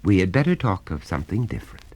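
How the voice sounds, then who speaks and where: A man's voice: low-pitched, sing-song, fast talker, darkish tone, no room sound, voice pushed up. A man voicing a prim mother who reproves her son in a disapproving tone. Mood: reproving.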